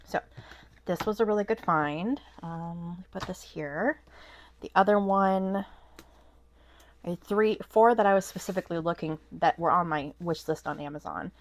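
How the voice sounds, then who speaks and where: A woman's voice: on the low side, normal speed. A woman with a stuffy, nasal voice from a cold, chatting casually at her desk as a vlog host, rambling with lots of ums and restarts. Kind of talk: casual haul vlog chat. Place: at a home desk.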